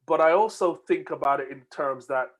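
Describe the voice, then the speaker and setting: A man with a slightly raised pitch hosts an online group discussion. He talks in a relaxed, conversational way and keeps the discussion moving. The audio comes through a video call. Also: normal speed. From United Kingdom. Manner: conversational, interjecting, moderating. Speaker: host.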